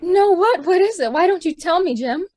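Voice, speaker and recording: An actress playing a bewildered young wife who learns her husband is a thief, speaking in halting, upset bursts. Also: very high-pitched, lively intonation, darkish tone. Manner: halting, distraught. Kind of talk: community theater radio play.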